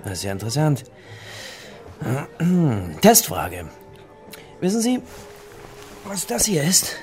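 Lively, teasing male archaeologist. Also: a little high, slow, faint music behind, heavily acted. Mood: teasing, cryptic. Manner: wry, playful, cryptic. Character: teasing, enigmatic male archaeologist drinking in a trattoria. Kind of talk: conversational radio drama dialogue.

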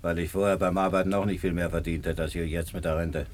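Aggrieved invalid pensioner, male actor: low-pitched, earthy grumbling, ambient noise behind, radio play. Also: flat delivery, fast, lightly acted. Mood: bitter, aggrieved.

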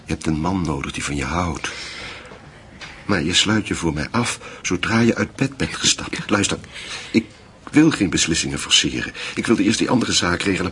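A man playing a middle-aged, passionate and frustrated lover in a radio drama, speaking in an earnest, halting, intimate way. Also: low voice, fairly bright tone, a little hiss or hum. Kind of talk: naturalistic radio drama acting.

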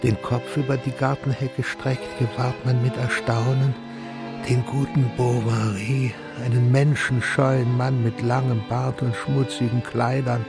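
Nosy villager, gravelly, slow, deliberate, radio drama, music clearly behind. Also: male voice, mid-range voice, level tone. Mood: curious, deliberate. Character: curious, gossiping villager observing a neighbour.

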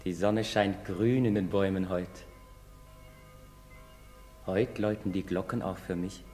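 An actor playing an earnest, dreamy young carpenter's apprentice, speaking in an expressive, declamatory stage manner. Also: male voice, low voice, measured pace, soft background music. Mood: elated, dreamy. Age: young adult. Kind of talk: theatrical stage acting. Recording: reverberant theatre hall.

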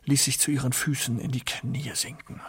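A man's voice: mid-range voice, flat delivery, normal speed, a bit bright, husky, no room sound. A man reading scripted literary narration for a radio drama.

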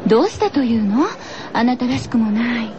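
Casting: actress for a schoolteacher heroine, high feminine voice, firm and uneasy, telling a radio ghost story. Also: female voice, lively intonation, brisk, dull audio, noisy background, close to an everyday voice. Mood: concerned. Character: female schoolteacher, firm but uneasy, narrating a horror tale. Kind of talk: radio horror drama acting.

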